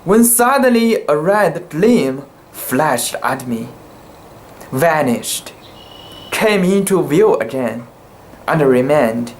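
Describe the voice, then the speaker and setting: Slow-paced man giving a dramatic reading. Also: on the high side, sing-song, slight background noise. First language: not English. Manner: halting, careful delivery with occasional stumbles. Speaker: amateur reader. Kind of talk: dramatic reading of a sea story.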